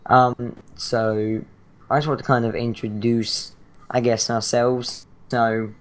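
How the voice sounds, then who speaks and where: A teenage boy with a British accent and a croaky, hoarse throat chats loosely about his gaming channel. He rambles, stalls on ums and restarts, and his pitch barely moves, staying flat throughout. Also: slow pace. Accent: British English. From Britain. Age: teens. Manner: rambling, chatty, many fillers and restarts. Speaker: channel host. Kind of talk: casual gaming channel intro.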